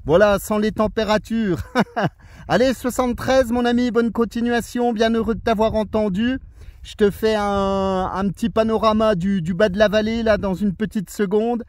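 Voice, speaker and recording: A man's voice: high-pitched, fairly even intonation, normal speed, a little mellow, no echo. A man chatting casually and colloquially as an amateur radio operator, in an easygoing manner. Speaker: radio operator on a lunch break. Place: outdoors in the mountains.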